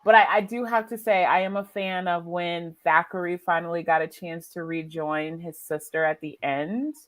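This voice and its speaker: A woman's voice: lowish voice, fairly even intonation, normal speed, a bit bright. A woman hosting a book readalong livestream in an informal, chatty way, talking to co-hosts and viewers. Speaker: livestream host.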